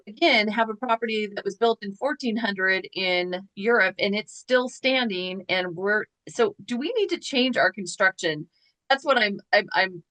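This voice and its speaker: A woman hosting a chat show, talking casually with quick asides and rhetorical questions. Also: fairly high pitch, fairly bright tone, no echo, low-quality recording. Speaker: podcast host.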